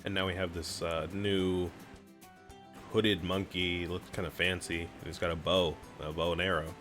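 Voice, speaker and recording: Low-voiced man explaining a game. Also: moderate pace, soft background music. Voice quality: raspy, clears throat often. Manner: relaxed, explanatory, reads on-screen prompts aloud. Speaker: gaming streamer. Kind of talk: casual let's-play commentary.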